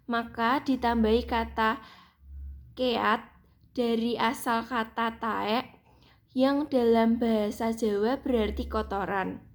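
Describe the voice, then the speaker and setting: A young woman student reading a prepared seminar paper aloud at a steady pace, with small restarts. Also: on the high side. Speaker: student presenter.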